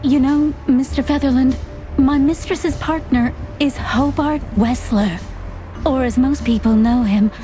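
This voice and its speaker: A poised young servant with secrets in a film-noir game. Her voice sits fairly high, and her manner is measured, coy and evasive. Music plays clearly underneath. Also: mellow, rough recording. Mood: earnest.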